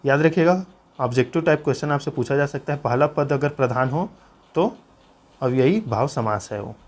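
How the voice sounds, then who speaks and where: A man's voice: medium pitch, moderate pace. A man teaches an exam-preparation lesson, explaining notes aloud in an instructive way and pressing listeners to remember key points.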